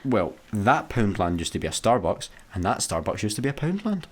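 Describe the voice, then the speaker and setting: An actor with a Scottish accent playing a scruffy, childlike slacker spaceman in a sci-fi comedy radio play, excitable, flippant and given to grumbling. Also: lowish voice, fairly quick, husky.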